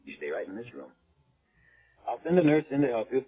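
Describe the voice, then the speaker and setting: An actor playing a middle-aged hospital chief of staff, brisk and condescending, questioning a patient in a businesslike way in a 1970s radio drama. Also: male voice, mid-range voice, big pitch swings. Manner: crisp, businesslike bedside questioning. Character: brisk, condescending male doctor in charge. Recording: dull old radio broadcast.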